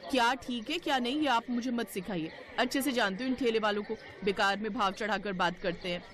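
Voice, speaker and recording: A woman's voice: fairly high pitch, brisk, fairly bright tone, a faint crowd, lightly acted. A woman voicing a boastful, snobbish younger daughter-in-law in a cartoon story, haughty and taunting. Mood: haughty, scolding.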